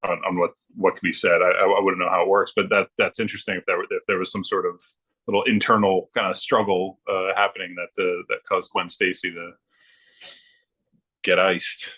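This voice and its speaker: Guy on a podcast, lowish voice, easygoing chat, kinda hesitant, thinks out loud. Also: flat delivery, brisk. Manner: hesitant, agreeable, thinking aloud. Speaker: podcast guest. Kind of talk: casual chat about comic books.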